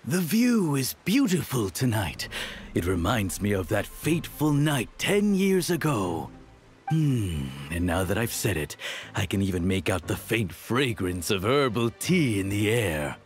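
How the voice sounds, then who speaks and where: A man's voice: fairly low pitch, big pitch swings, unhurried, faint music behind. A man voicing a cruel, haughty middle-aged baron in an anime game, speaking in a pompous, mocking, theatrical way. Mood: wistful menace.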